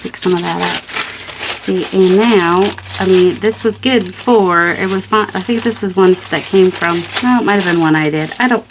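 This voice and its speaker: A woman's voice: lowish voice, moderate pace, background noise. A woman hosting a craft video, chatting casually and rambling to herself with playful self-scolding while she works, over clicks and crinkling paper.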